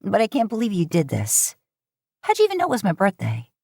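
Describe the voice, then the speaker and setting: A woman as a caring middle-aged mom, gently probing. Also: mid-range voice, huge pitch swings, a little fast, vocal fry, slightly rough, no room sound, clean studio sound, voice pushed up, heavily acted. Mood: amazed. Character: caring, slightly dry middle-aged foster mother. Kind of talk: fan fiction audio story reading.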